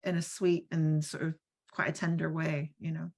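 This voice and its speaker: A woman's voice: low voice, flat delivery, moderate pace, vocal fry, a little mellow. A woman speaking in an online panel discussion, thoughtful and searching, with many restarts and fillers, heard over a video call. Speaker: roundtable speaker.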